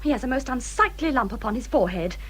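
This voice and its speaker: A complaining young English wife in a period radio drama. Her voice is high and sharp, swinging widely as she frets and feels put upon. The manner is genteel but aggrieved. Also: expressive, fast talker, breathy edge, heavily acted. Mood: indignant fretting. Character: self-pitying, complaining young married woman, easily put out.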